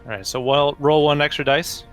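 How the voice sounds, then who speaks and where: A man's voice: fairly high pitch, a little fast. A man chatting casually as a player in a tabletop roleplaying game. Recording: music under the voice. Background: music.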